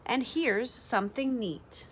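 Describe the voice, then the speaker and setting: Woman in her thirties, high-pitched voice, unhurried pace, children's read-aloud, expressive phrasing. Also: slight background noise. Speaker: educator reading a picture book aloud. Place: bright sunroom with large windows, indoors.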